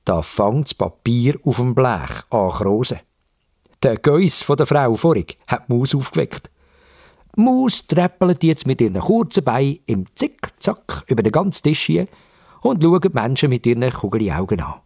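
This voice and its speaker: A male storyteller with a Swiss German accent narrates for young children. His delivery is expressive and suspenseful, swinging widely in pitch around an average male register. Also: normal speed, creaky, no echo, clean studio sound. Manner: expressive, suspenseful, with repetitions for effect.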